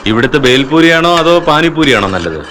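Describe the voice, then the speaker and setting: A man's voice: a little high, big pitch swings, fast talker, loud music behind the voice. A man playing a cocky young hero in a dubbed film, talking in a mocking, playful way. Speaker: hero posing as police. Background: loud film score.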